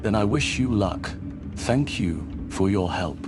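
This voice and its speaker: An actor playing a steadfast, polite paladin adventurer in a video game, speaking with composure. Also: male voice, fairly low pitch, expressive, unhurried. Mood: calm, courteous. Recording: game music behind.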